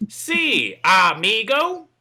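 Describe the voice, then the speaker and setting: An easygoing, food-loving fat cowboy from a comic western, acted out by a man at a read-through. The voice is bright and a bit high. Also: huge pitch swings, extremely slow, full character voice. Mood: friendly.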